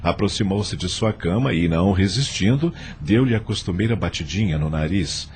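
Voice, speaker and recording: A man's voice: sits low, lively intonation, moderate pace, darkish tone, a little hiss or hum. A man with a Brazilian accent narrating an audio novel as scripted, dramatic storytelling.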